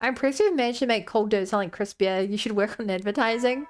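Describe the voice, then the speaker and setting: A woman's voice: a little high, quick pace. A woman in her twenties streaming a game, rambling and joking as she talks to her chat. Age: 20s. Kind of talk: casual gameplay commentary.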